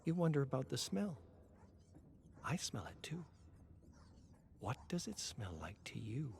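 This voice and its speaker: A man puts on an eerie woman with no face, huddled in a horror game tale. The delivery is hushed and creepy. She serves a dark master. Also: mid-range voice, sing-song, unhurried, vocal fry, slight background noise. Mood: eerie, probing.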